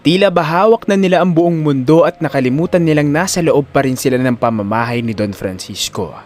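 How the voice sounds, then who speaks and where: A man's voice: a little high, fairly quick, a little mellow. A man narrating a scripted dramatic story as an audio drama narrator.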